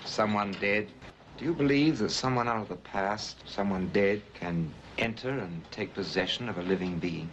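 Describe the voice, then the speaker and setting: An actor playing a worried, polished businessman husband in a 1950s film drama, telling his story gravely and with measured phrasing. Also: lowish voice, dull audio, lightly acted. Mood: grave, intent. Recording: old film soundtrack, faint background noise.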